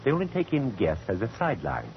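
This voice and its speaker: An actor playing a fussy, genteel, affectionate photographer in 1940s radio style, with courtly, flowery speech. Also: male voice, mid-range voice, huge pitch swings, fast. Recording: muffled, faintly noisy old radio broadcast.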